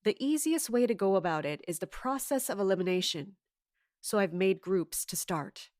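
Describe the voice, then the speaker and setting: A woman playing a scheming, authoritative commander in an audio drama, speaking in a measured way. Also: mid-range voice, animated, darkish tone, dry recording, studio quality, lightly acted. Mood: brooding, resolved. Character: scheming, commanding woman in charge of palace guards. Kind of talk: audio drama acting with first-person narration.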